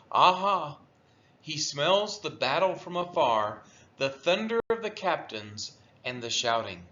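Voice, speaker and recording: A man reading the Bible aloud in a devotional style, declaiming each verse deliberately and expressively. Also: on the high side, pushed and bright, dull audio. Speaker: scripture reader.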